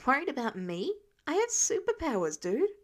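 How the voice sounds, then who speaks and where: High-pitched teenage boy hero, acted by a woman, sarcastic, wounded, exasperated, slangy, audio fiction. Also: huge pitch swings, normal speed. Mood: casual, teasing.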